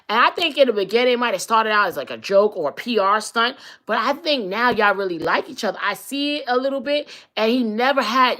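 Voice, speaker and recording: Brash New York woman with a bright voice. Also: a little high. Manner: blunt, profane, sassy. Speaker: live-stream host. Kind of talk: casual live-stream chat reading comments.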